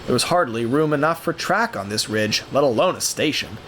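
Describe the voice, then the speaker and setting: A man narrating a scripted story in a theatrical, measured way, with deliberate pauses. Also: mid-range voice, lively intonation, a bit bright, a little hiss or hum.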